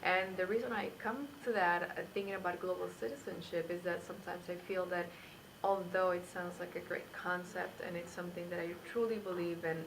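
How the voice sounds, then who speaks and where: A woman's voice: on the low side, fairly even intonation, fairly quick. A woman from Colombia in her thirties, a graduate student, reflecting personally with frequent restarts, recorded in an indoor lounge with audible hiss. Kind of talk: personal interview answer.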